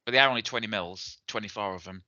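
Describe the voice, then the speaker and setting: A man co-hosts a casual podcast, rambling cheerfully from one thought to the next. His voice sits a little low but comes across very bright and forceful. The recording is dry and slightly dull. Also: quick pace, no room sound. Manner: chatty, rambling, laughs easily. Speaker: podcast co-host. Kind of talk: casual chat.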